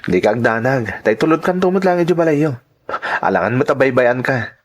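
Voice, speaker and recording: Man as young boyfriend, Ilocano radio drama, persistent and cajoling, casual phrasing, animated mid-range voice. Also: medium pitch, a little fast, natural voice. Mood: coaxing.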